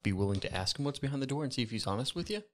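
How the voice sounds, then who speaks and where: A man's voice: medium pitch, animated, rapid-fire. A man playing a tabletop game, chatting casually and speaking in character. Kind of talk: casual in-character roleplay and chat.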